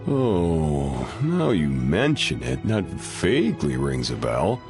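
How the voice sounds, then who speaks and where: Slow-talking, absent-minded chef, very lively intonation. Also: male voice, rumbling low, heavily acted. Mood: affable. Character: easygoing, absent-minded restaurant chef serving customers. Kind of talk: animated video game dialogue. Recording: music clearly behind the voice.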